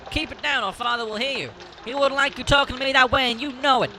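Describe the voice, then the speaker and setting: A man playing a stern, responsible farmhouse sibling with a very high, piercingly bright voice. The delivery is that of an audio drama, with faint noise behind it. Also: quick pace, exaggerated voice. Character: sharp, hard-working sibling in charge of farmhouse. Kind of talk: amateur audio drama acting.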